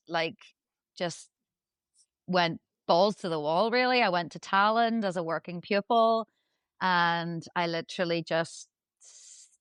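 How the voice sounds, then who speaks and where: A woman's voice: on the low side, fairly bright tone, clean tone, dry recording. A middle-aged woman with a Northern Irish accent, chatting as a podcast guest in a chatty, self-deprecating way with plenty of laughs. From Northern Ireland. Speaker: guest, dressage rider. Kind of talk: casual podcast chat.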